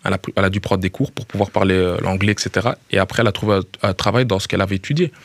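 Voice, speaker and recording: Un homme d'une trentaine d'années à l'accent belge de Liège, footballeur invité, qui parle de façon décontractée et se répète en cherchant ses mots. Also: male voice, low voice, no room sound. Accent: Belgian French, Liège. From Belgium. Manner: quick, repeats words as he searches, familiar "tu vois". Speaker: footballer guest. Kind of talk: casual interview chat. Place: small radio studio. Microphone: studio mic with headphones.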